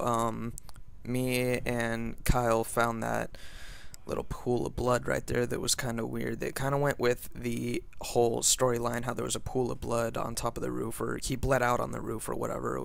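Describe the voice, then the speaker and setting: A man's voice: medium pitch, vocal fry. A young man giving casual, hesitant gameplay commentary, his voice a bit off from being sick. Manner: informal, hesitant. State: sick, voice slightly off. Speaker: gaming video creator.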